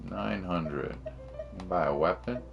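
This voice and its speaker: A man with a very low voice and plenty of fry chats casually through a game for his stream. He talks in slang and thinks out loud as he goes, with game music behind him. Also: takes it slowly, dull audio. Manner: slangy, musing aloud to himself. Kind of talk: casual gameplay commentary, chatting to livestream viewers.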